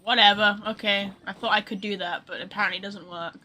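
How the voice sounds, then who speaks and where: A woman's voice: medium pitch, fairly even intonation, quick pace, sharp tone, faint music behind. A woman giving casual live game commentary as a streamer, with a blunt, sarcastic manner. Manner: reacts bluntly to dialogue, sarcastic.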